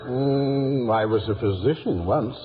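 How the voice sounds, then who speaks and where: A man's voice: medium pitch, a little slow, close to an everyday voice. An actor playing a courtly, learned old coachman in a 1950s radio drama, with an ornate, pompous yet kindly manner. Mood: kindly, musing. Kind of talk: 1950s theatrical radio acting. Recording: tinny, noisy, reverberant old radio recording.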